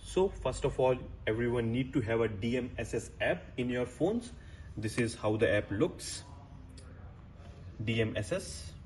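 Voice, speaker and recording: A man's voice: medium pitch, darkish tone. Man giving tech tutorial, noisy background. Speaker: technician presenting a tutorial. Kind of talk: step-by-step how-to demonstration.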